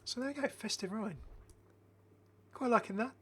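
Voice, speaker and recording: A man's voice: sits high, normal speed, fairly bright tone, slightly rough. A man giving casual, enthusiastic game commentary as a chatty, rambling video host.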